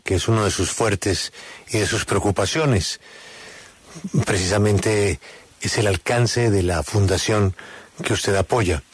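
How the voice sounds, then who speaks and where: Husky low-voiced male radio interviewer. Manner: measured, formal questioning.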